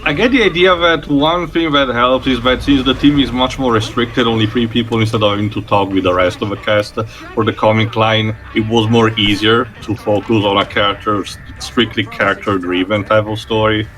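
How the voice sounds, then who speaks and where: A man's voice: fairly low pitch, fairly even intonation, brisk, fairly bright tone, soft background music. A man co-hosting a game let's play, giving background on the game in a rambling way with many fillers. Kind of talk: casual gaming commentary.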